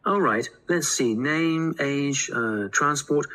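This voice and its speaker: An actress playing a helpful, businesslike homestay office clerk asking questions in a scripted test dialogue. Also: female voice, low-pitched, takes it slowly, a bit bright.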